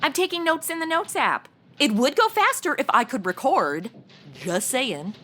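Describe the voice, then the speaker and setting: An actress playing a flighty, self-absorbed young podcaster in a comedy audio drama, chatty, slangy and gushing. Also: female voice, sits high, big pitch swings, moderate pace, bright, forceful tone, faint music behind, full character voice. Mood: flippant. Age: young adult.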